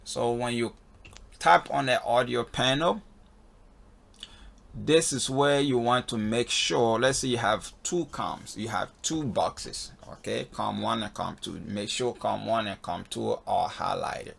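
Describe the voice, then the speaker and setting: Male instructor, heavily creaky and husky, casual step-by-step tutorial delivery. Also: mid-range voice, unhurried, fairly bright tone. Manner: step-by-step, repeatedly checking in with "okay". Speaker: flight instructor in a tutorial video. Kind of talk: casual spoken software walkthrough. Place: voice-over on a screen recording.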